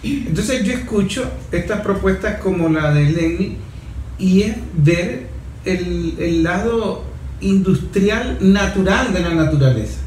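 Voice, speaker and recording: A man with a Venezuelan accent hosting a discussion in a reflective, somewhat halting conversational way. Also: sits high, darkish tone. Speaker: host. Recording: echoey room, faint background noise.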